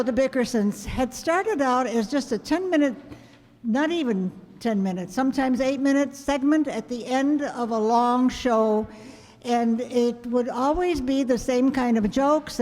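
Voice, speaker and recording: Slow, chatty woman host on stage. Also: sits high, darkish tone. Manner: chatty, informal, shushing the crowd. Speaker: troupe host. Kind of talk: casual stage introduction to an audience. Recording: reverberant hall.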